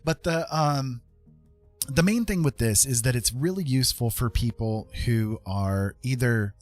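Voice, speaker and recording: A man's voice: mid-range voice, a little slow, darkish tone, soft background music. A man hosting a casual drawing tutorial, thinking aloud in a rambling, informal way with frequent tag questions. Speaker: art tutorial host.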